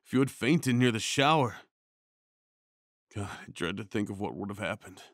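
A man performing an ASMR comfort roleplay as a tender, worried boyfriend, speaking in a hushed, soothing way with sighs and shushing. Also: fairly low pitch, animated, moderate pace, no room sound, studio quality.

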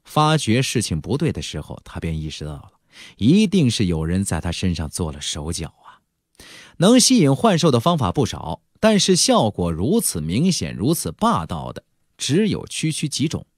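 A man narrating a fantasy novel as a dramatic audiobook storyteller, theatrical, with drawn-out emphasis and colloquial touches. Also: fairly low pitch, huge pitch swings, no echo, clean studio sound.

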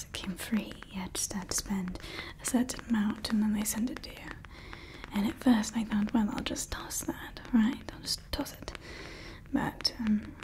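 A woman's voice: medium pitch, natural voice, low-quality recording. A young woman playing a haughty female evil scientist in an ASMR roleplay, whispering close to the mic, scolding her staff and fussing over her creation. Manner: mostly whispered, scolding and fussy. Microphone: close sensitive ASMR mic.

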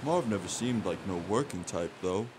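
A man's voice: low-pitched, expressive, normal speed, darkish tone, a little hiss or hum. A man playing a naive, good-hearted crook's sidekick in an audio drama, simple and earnest. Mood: wistful. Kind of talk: audio theater acting.

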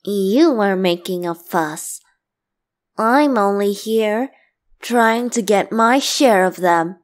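A woman's voice: medium pitch, lively intonation, slow. A woman voicing a playful, clingy boyish god and bard in a boyfriend ASMR roleplay, teasing and theatrical. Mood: sulky.

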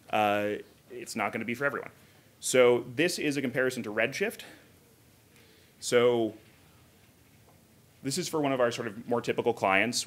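A man's voice: mid-range voice, fairly bright tone. Casual man presenting at a tech conference. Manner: casual, conversational explaining with asides. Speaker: conference speaker, software engineer. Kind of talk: informal technical conference presentation. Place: hotel conference room, indoors.

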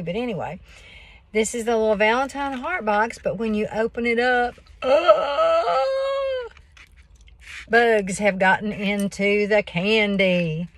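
A woman, chatting casually in a folksy, rambling way as she shows things on a craft vlog. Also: medium pitch. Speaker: craft vlogger. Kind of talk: casual chat, show-and-tell. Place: inside a parked car on a rainy day. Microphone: phone at arm's length. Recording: noisy car phone audio, crinkling packaging. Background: clear noise, crinkling and clicks.

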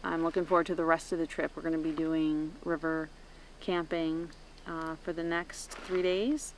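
Chatty woman with a lowish voice outdoors. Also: level tone, moderate pace, slight background noise, rough recording. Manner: chatty, upbeat, self-mocking. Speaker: first-time canoe camper. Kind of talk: casual outdoor video diary. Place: outdoors at a forest campsite.

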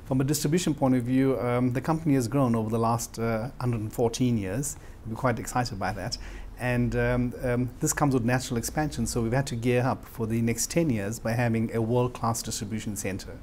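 A man's voice: mid-range voice, fairly even intonation, brisk, hardly any pauses, a little mellow. South african man in his 40s, company director interview, medium voice, bit of noise behind. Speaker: regional marketing director. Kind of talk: on-camera interview. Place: office, indoors.

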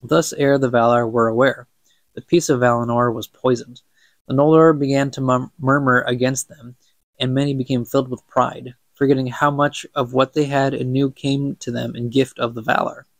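A man's voice: medium pitch, flat delivery, normal speed, dry recording. A young man with a stuffy nose, reading a book aloud for a podcast and chatting about it, stumbling over words and laughing at his slips. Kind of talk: casual book reading with commentary.

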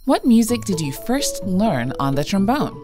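A woman's voice: medium pitch, very animated, moderate pace, music in the background. A woman in her thirties hosting a children's learning show, bubbly and eager, laughing often as she talks with her guest. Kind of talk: upbeat kids' show hosting.

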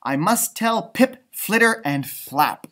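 A man voicing an eager, earnest young female fruit bat in a children's storybook, breathless and excitable. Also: sits high, takes it slowly, full character voice. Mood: excited. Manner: eager, breathless, stammering when worried.

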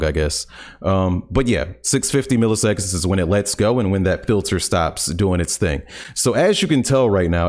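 A man in his thirties with a very deep, low voice leads a relaxed streaming tutorial. He talks in an upbeat way and drops playful jokes between the steps. He records at home on a studio mic on a boom arm. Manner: upbeat, playful, joking asides. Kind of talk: casual tutorial. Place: home streaming room, indoors. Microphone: large studio condenser on boom arm.

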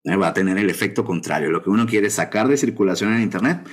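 A man's voice: deep voice, expressive, fast talker, breathy edge. A middle-aged man gives a conversational conference talk over slides, often adding rhetorical tag questions. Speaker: lecturer on academic publishing. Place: indoor room at a desk. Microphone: video call webcam mic.

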